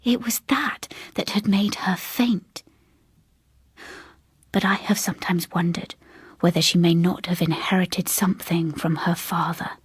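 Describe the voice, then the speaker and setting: Breathy, slow female ghost story narrator. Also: on the low side, no room sound, studio quality. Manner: hushed, suspenseful, deliberate phrasing. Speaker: audiobook reader.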